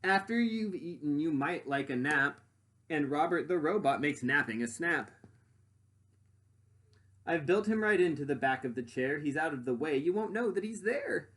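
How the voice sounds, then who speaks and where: A man voicing an eager, boastful boy inventor from a storybook, presenting his dream creation like an excited showman. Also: fairly high pitch, moderate pace. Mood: proud, excited.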